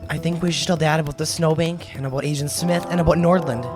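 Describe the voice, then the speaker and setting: A woman's voice: sits low, steady tone, fast, over music, lightly acted. A woman playing a muddled, conscientious and wary school-age kid in a radio drama, speaking hesitantly and plainly. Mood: resolved.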